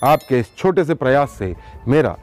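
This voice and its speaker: A man hosting an investigative news show, speaking emphatically to viewers with dramatic pauses and rhetorical questions. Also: mid-range voice, expressive, darkish tone, faint music behind. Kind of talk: news exposé monologue to viewers.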